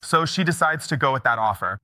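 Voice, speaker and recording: Bright-voiced middle-aged male speaker. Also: mid-range voice, lively intonation, brisk, dry recording, studio quality. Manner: chatty, checks in with the audience. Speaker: mortgage broker giving a conference talk. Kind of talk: conversational conference storytelling.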